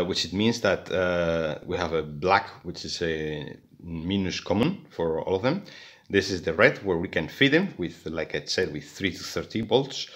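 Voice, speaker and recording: Very deep-voiced man explaining a repair. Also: fairly bright tone. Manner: hesitant, many fillers and restarts. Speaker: electronics hobbyist. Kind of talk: hands-on repair tutorial. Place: indoors at a workbench.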